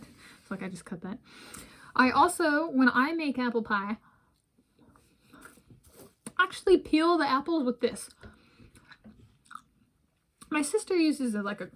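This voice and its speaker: American woman, 30s, slightly high-pitched, for casual digressive home food vlogs, chats between bites. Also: a bit bright. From United States. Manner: rambling, digressive, talks while eating. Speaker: vlogger. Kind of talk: casual food vlog talk. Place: home room with bookshelves, indoors.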